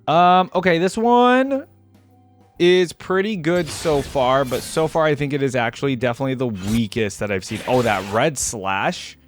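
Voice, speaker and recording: A man's voice: mid-range voice, moderate pace, soft background music. A man giving casual, off-the-cuff reaction commentary as a video host, thinking aloud in an informal, exclamatory way.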